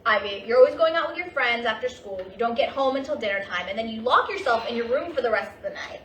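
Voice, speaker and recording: A woman playing a weary, defensive mother in mourning, her bright, forceful voice set a little high. It is a stage drama reading, heard from a distance in a roomy space. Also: expressive, fast talker. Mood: hurt, defensive. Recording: roomy distant stage recording, faint noise.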